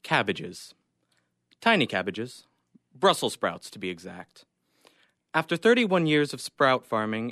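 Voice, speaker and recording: A man's voice: fairly low pitch, a bit bright, no room sound, studio quality. A young man reading his own short story aloud as scripted narration. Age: young adult.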